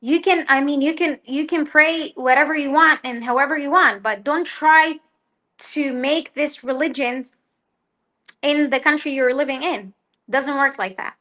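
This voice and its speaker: Bright-voiced young woman. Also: sits high. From Israel. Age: young adult. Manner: halting, frequent restarts and fillers. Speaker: doctor, interview guest. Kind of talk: casual interview conversation.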